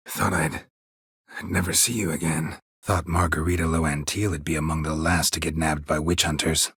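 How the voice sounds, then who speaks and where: An actor playing a gruff, stoic monster hunter in a video game, with terse, deadpan, dry-witted delivery and a gravelly voice. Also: male voice, no echo, full character voice. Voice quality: gravelly, husky low voice. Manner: terse, deadpan, clipped phrasing.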